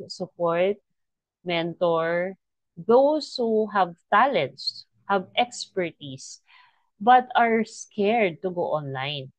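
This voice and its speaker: Slow, earnest woman podcast host. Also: medium pitch, no echo. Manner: earnest, encouraging, with many restarts and pauses. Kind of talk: reflective faith podcast talk.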